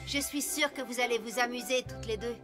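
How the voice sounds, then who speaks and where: A high cartoon voice from a woman playing a young adult farm pony, the protective big sister. She is firm, familiar and reassuring. Loud music runs behind her. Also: fairly even intonation, brisk, lightly acted. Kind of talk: French cartoon dub.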